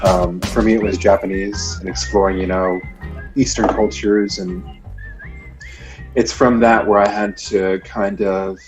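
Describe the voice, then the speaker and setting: American guy, young adult, slow thoughtful answers, lowish voice, hip hop playing behind. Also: level tone, music in the background, poor audio. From United States. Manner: thoughtful, hesitant with fillers. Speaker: guest. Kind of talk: casual interview answers.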